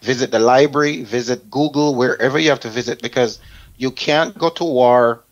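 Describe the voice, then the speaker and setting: A man's voice: mid-range voice, moderate pace, lo-fi sound. A man hosting a talk show, giving listeners advice in an emphatic way, item by item.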